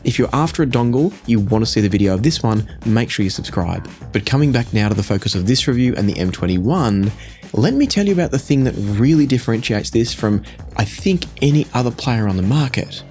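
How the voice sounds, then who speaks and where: A man in his forties giving a measured, conversational product review to camera, explaining things as he goes. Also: mid-range voice, expressive, darkish tone, faint music behind. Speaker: audio gear reviewer. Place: small indoor home studio room.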